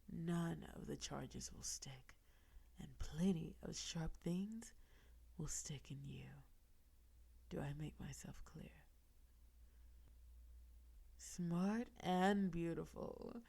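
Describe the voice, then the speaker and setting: A woman's voice: fairly low pitch, mellow. A woman playing a flirty, menacing mafia boss in an audio roleplay, confident and smug, with a teasing, suggestive delivery. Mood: quietly threatening. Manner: teasing, suggestive, slow deliberate delivery with breathy asides. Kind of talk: audio roleplay with gumshoe cliches.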